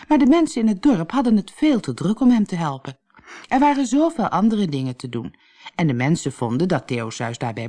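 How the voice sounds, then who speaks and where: A storyteller reading a scripted children's fairy tale in an expressive, dramatic way. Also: mid-range voice. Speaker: storyteller, audiobook narrator.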